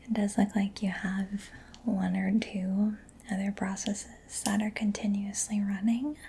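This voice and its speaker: A woman doing a soft-spoken ASMR roleplay as a mechanic, in a breathy whisper with a gentle, hesitant, reassuring manner. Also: mid-range voice, flat delivery, unhurried, slight background noise.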